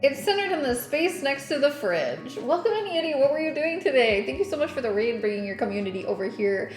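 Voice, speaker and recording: A woman's voice: high voice, very animated, a little fast, roomy sound, faint music behind. Giggly young woman streaming from her kitchen. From Northern New York. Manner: giggly, chatty, reads chat aloud. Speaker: streamer host. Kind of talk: casual baking stream chat. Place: home kitchen.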